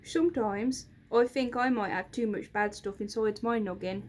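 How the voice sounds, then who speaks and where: Woman putting on a little male creaker monster, storybook, high voice, sly, broken grammar. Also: moderate pace, a little mellow, a little hiss or hum, natural voice.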